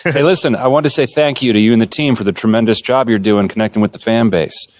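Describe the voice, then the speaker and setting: Man playing a medium-pitched team executive. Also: fast talker, slight background noise, natural voice. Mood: appreciative. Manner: complimentary, businesslike. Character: appreciative, businesslike male team executive. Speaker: team organization staffer. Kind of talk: video game cutscene dialogue.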